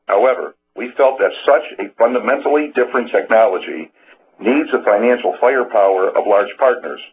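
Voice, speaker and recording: An older man, a chief executive, reading a prepared corporate statement in a formal way over a telephone. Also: fairly low pitch. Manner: formal, scripted delivery. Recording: tinny phone line with background noise.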